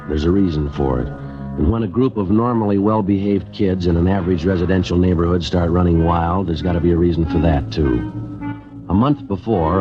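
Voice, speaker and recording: A terse, world-weary American police sergeant, played by a man, in a vintage radio crime drama. He speaks low and flat, in clipped matter-of-fact phrases. The sound is muffled and old, with music clearly behind. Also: natural voice. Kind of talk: 1950s radio crime drama acting and narration.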